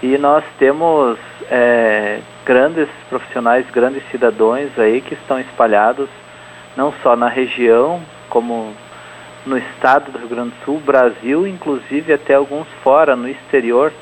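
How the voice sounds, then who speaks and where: A man's voice: medium pitch, measured pace, a little hiss or hum, poor audio. Hesitant middle-aged man on radio. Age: 40s. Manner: hesitant, many fillers and restarts. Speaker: interview guest, event organizer. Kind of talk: radio interview, casual.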